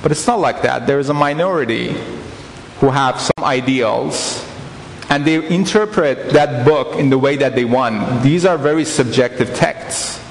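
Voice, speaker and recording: A man's voice: mid-range voice, unhurried, creaky, a little hiss or hum. A man, giving an earnest guest talk at a church and often restarting his phrases. He speaks into a headset mic. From Iran. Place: church hall, indoors. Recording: echoey church hall reverb.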